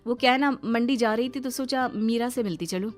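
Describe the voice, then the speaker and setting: A woman playing a flustered, polite young daughter-in-law in a cartoon story, chatty and expressive. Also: fairly high pitch, a little fast, faint music behind, natural voice. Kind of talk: cartoon moral story acting.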